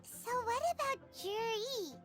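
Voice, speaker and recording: A woman voicing a small, childlike, excitable fairy companion in a video game, with a squeaky voice. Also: measured pace, heavily acted. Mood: curious, eager. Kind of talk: video game character dialogue. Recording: music playing behind the voice.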